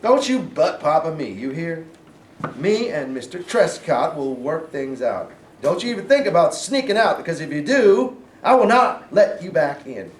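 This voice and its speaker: A man's voice: fairly high pitch, very animated, moderate pace. A man playing a stern, folksy former governor and father in a staged play reading. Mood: stern, threatening. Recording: roomy, distant, faint noise.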